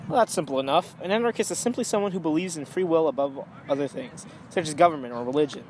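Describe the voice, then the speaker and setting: An alarmist 1920s author acted by a man gives firm opinions in a radio interview. His voice sits a little high, with some noise in the amateur recording. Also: quick pace, a little mellow, lightly acted. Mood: earnest. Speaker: talk show guest, author.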